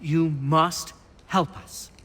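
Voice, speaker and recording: Excitable, high-voiced colonial patriot. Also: male voice, extremely slow, big-room sound, exaggerated voice. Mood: relieved, earnest. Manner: urgent, theatrical, formal. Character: fervent, excitable colonial patriot rallying allies. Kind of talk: video game character acting.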